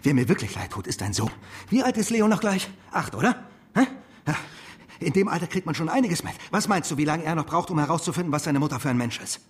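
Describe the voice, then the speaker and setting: A man's voice: on the high side, big pitch swings, quick pace. An actor playing a cold, mocking, manipulative male stranger in a German radio drama, taunting and pressing. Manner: taunting, interrogating, swings between hushed and explosive.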